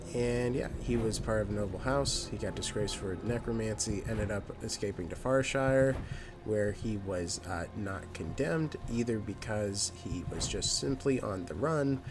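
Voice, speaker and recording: Nasal man chatting over game music. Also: on the low side. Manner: rambling, with many fillers and restarts. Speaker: gaming channel host. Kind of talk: casual let's play commentary. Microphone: new microphone.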